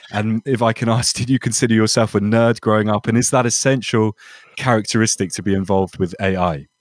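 A man hosting a podcast interview, asking questions in an encouraging, slightly halting way. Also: fairly low pitch, no room sound, clean studio sound. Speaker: podcast host.

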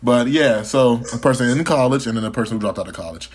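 A man's voice: mid-range voice, animated, fast, slight background noise. A young man hosting a podcast in casual chat, teasing his guests with profane banter and frequent laughter. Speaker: podcast host.

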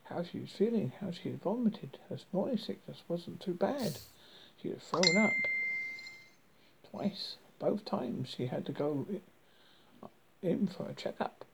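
A man with a husky, creaky voice reading a first-person story dramatically, haltingly and stumbling over words as a horror host. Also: high voice, animated, background noise.

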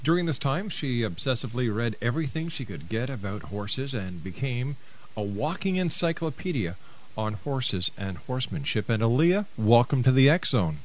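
A man's voice: mid-range voice, sing-song, normal speed, a little hiss or hum, rough recording. Older man hosting talk radio. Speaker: radio show host.

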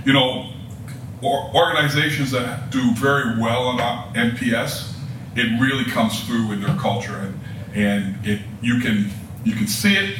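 A man's voice: medium pitch, expressive, measured pace. A man talking about customer service in an affirming, reflective way. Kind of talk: conversational discussion. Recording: echoey room, distant mic, noisy.

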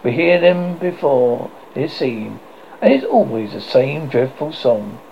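A man's voice: takes it slowly, vocal fry, muffled recording, slight background noise. A man reading a classic children's novel aloud as a narrator, telling it expressively with occasional slips. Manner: expressive storytelling with lively swings in pitch and occasional slips. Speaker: audiobook narrator.

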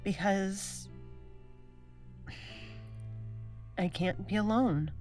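A woman playing a lonely, anxious space traveller in an audio drama, speaking in a halting, uncertain, subdued way. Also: mid-range voice, darkish tone, faint music behind, natural voice. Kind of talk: naturalistic audio drama acting.

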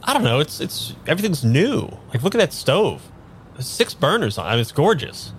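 An actor playing an eager, pushy man without his memory in a naturalistic improvised audio drama, halting and repeating himself. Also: a little high, sing-song, slight background noise. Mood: quietly admiring. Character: eager amnesiac man, pushy, boyishly enthusiastic tarot querent.

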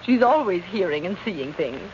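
A woman's voice: fairly low pitch, big pitch swings, moderate pace, a little mellow. A woman playing a genteel, fluttery older lady with a hesitant, polite manner in 1940s radio acting style. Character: genteel, fluttery older lady living alone, former concert performer. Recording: muffled, hissy old-time radio recording.

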